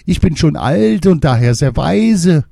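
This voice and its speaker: A man voicing a mysterious, wise old woman who speaks high and slowly. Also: expressive, gravelly, mellow, slightly rough, no echo, studio quality, full character voice.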